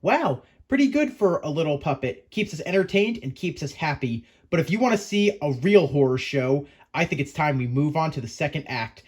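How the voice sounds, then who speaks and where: Male narrator, slightly high voice. Chatty, theatrical host delivering scripted, playfully spooky storytelling straight to the listener. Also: sing-song, moderate pace, hardly any pauses, slightly rough. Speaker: host of a creature-lore video series.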